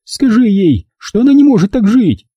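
Man putting on a high-pitched, distraught, bitter mother. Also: very animated, normal speed, warm tone, no echo. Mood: insistent, commanding. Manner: emphatic, reproachful, pressing with rhetorical questions. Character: distraught middle-aged mother, bitter, pleading, insistent. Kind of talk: audiobook character dialogue.

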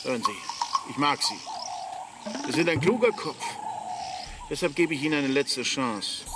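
An actor playing a coolly authoritative, threatening police inspector in a radio drama. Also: male voice, on the high side, moderate pace, natural voice. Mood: coldly threatening. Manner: commanding, calmly threatening. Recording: noisy vehicle and outdoor sounds behind.

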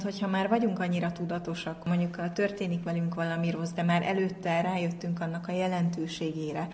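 Low, even-voiced woman over background noise. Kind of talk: informal explanatory talk.

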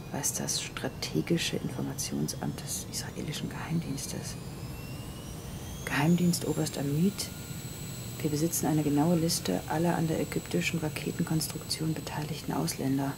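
An actress playing a curious, apprehensive young woman in a radio drama, speaking in a hushed way. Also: sits low, creaky.

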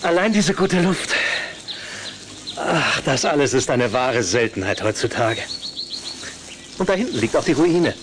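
A man's voice: mid-range voice, lively intonation, measured pace, pauses often, natural voice. A man playing a cheerful, unworried, teasing middle-aged father in a German audio drama. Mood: delighted. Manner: teasing, enthusiastic, chuckling. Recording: noisy old audio drama recording.

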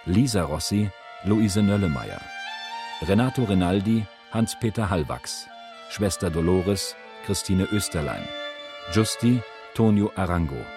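A man formally announcing the title and cast credits of a radio drama. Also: deep voice. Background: music, guitar and strings.

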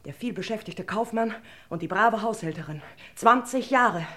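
A woman's voice: mid-range voice, moderate pace, fairly bright tone, lightly acted. A woman acting a bitter, commanding housekeeper with a murderous secret in a radio crime drama, speaking in clipped, dramatic phrases. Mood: bitter, desperate.

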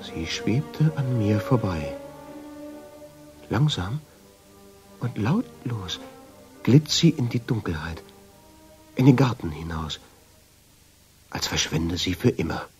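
An actor playing a haunted, uneasy man who narrates in a radio drama, in with a husky, brooding delivery. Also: fairly low pitch, expressive, slow, pauses often, a little mellow, soft background music. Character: haunted, uneasy man recounting his obsession with a portrait. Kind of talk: radio drama first-person narration and dialogue.

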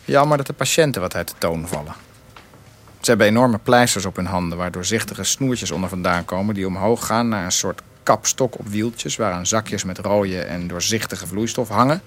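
A man's voice: on the low side, moderate pace, a bit bright, slight background noise, close to an everyday voice. An actor playing a young husband of a cancer patient, narrating his bitter, sarcastic inner thoughts in a radio play. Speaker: narrator and lead character. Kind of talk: Dutch radio drama.

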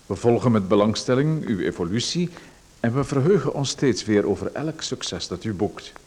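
An actor playing a sinister, overly familiar visitor in a radio play, with a calm, insinuating, politely evasive way of talking. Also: male voice, mid-range voice, normal speed, breathy edge. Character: sinister, overly familiar male visitor who watches a playwright.

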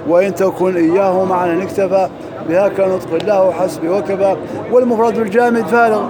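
Guy teaching grammar in a mosque, fast and high-pitched, people murmuring behind. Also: steady tone, crowd noise. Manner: quick, drilling examples, recites verses slowly. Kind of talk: lesson parsing grammar and verse.

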